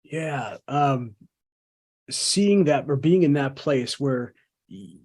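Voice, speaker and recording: A man's voice: medium pitch, expressive, takes it slowly, darkish tone, husky. A man hosting a podcast, reflective, often stammering and repeating words as he thinks aloud. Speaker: podcast host. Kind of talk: conversational podcast interview.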